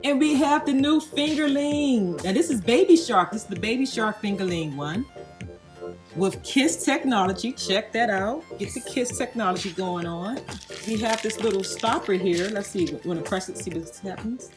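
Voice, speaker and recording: A woman's voice: medium pitch, animated, moderate pace. A woman hosting a casual toy unboxing and demonstration, explaining things simply as if to a child and viewers. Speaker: toy review host. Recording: background music behind the voice. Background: music.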